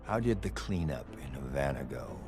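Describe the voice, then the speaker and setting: An actor playing a sober, controlled intelligence official with clipped delivery in a video game briefing. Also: male voice, a bass voice, animated, normal speed, darkish tone. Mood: cool questioning. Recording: music under voice. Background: music.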